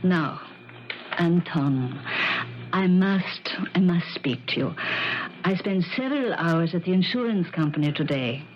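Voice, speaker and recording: A woman's voice: lowish voice, animated, unhurried, slight background noise. An actress playing a firm, devoted older woman in a 1970s radio drama, emphatic and coaxing, with foreign-style phrasing. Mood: firm, earnest. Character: old, stout, firm, devoted woman companion of pianist. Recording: dull vintage radio recording.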